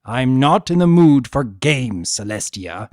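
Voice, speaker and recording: An urbane spirit of chaos from a fantasy story, acted by a man, swinging widely in pitch. He is sullen and volatile, drawing words out slowly with theatrical emphasis. The sound is dry and close. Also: big pitch swings, darkish tone, no room sound. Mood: irritated, mocking. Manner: theatrical, drawn-out, emphatic delivery. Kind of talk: dramatic fan fiction reading.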